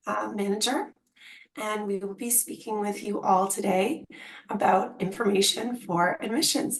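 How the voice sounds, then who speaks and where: A woman's voice: medium pitch, poor audio. Woman speaking carefully with a strained voice. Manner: careful, deliberate enunciation. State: losing her voice. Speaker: associate dean hosting a webinar. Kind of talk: presenting an online information session. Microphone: video call.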